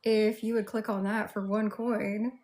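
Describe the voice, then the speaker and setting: A woman's voice: mid-range voice, flat delivery, a little slow, a little mellow. A woman with a Southern US accent hosting a casual livestream, chatting and addressing viewers one by one. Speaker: livestream host.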